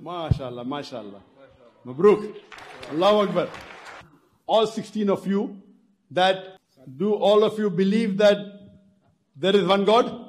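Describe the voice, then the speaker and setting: Older man leading a hall, raised pitch. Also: darkish tone. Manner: measured, calls out questions and has the crowd repeat phrases. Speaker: lecturer leading converts in a declaration of faith. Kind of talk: public lecture Q and A. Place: large indoor hall on stage. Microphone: stand mic.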